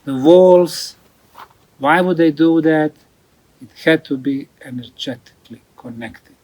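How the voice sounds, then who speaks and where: A man giving an unscripted explanatory talk as a researcher, deliberate and emphatic, repeating key points. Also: fairly high pitch, a little mellow, no echo, low-quality recording. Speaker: researcher presenting ancient megalithic sites.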